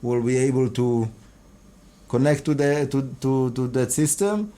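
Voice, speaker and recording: A rabbi whose first language is Hebrew, answering interview questions in English in a halting, earnest way, often restarting and repeating words. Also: male voice, medium pitch, mellow. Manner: earnest, devout phrasing. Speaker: rabbi and kabbalah teacher.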